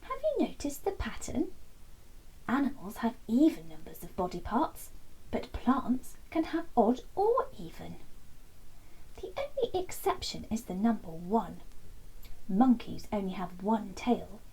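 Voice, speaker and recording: A woman reading a children's bedtime story aloud in a lively, expressive way, dropping to soft whispers for asides. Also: mid-range voice, a little slow, husky, poor audio.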